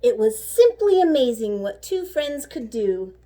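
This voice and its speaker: A woman reading a children's story aloud like a teacher, animated and rhythmic with the rhymes. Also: high voice, a little slow. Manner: animated, rhythmic rhyming delivery.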